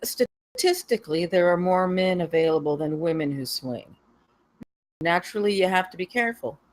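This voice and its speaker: A woman reads the part of a worldly, easygoing wife in a film script. Her voice is slightly low for a woman and sounds matter-of-fact and knowing. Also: lively intonation, normal speed, close to an everyday voice. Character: knowing, experienced, easygoing married swinger woman. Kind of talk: screenplay table read.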